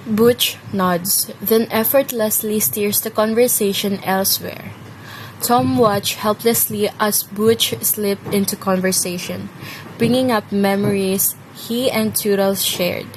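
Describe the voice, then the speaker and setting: A woman's voice: medium pitch, fairly even intonation, slow pace, a little hiss or hum, low-quality recording. A woman reading a scripted, dramatic story as its narrator. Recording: loud music and rain behind.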